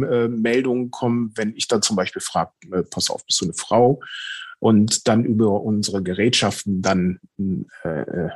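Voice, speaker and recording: Man explaining thoughtfully, slightly husky voice. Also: on the low side. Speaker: interviewed amateur ghost hunter. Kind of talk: conversational explanation in an interview.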